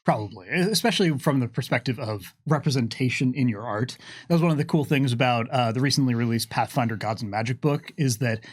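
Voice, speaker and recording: A man's voice: mid-range voice, fast, no echo. Man hosting an unscripted Q&A, dry sound. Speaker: host of a livestream Q&A. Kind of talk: unscripted lore discussion.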